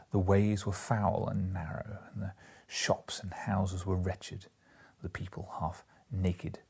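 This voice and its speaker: A man in his forties giving an expressive, measured dramatic reading of a classic tale, sometimes in hushed tones. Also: lowish voice, vocal fry, slightly rough. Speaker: storybook reader. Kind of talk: dramatic audiobook-style reading of a Victorian ghost story. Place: indoor living room, seated in an armchair.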